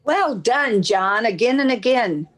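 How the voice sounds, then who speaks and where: A woman's voice: on the high side, lively intonation, a little slow. A woman in a group video call, chiming in with friendly, encouraging remarks. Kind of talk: casual chat.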